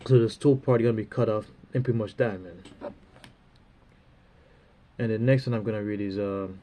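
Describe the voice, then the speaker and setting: A man with a stuffy, runny nose giving a rambling spiritual talk, halting and full of fillers, often ending phrases with "man". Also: lowish voice, steady tone, warm tone. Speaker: religious speaker.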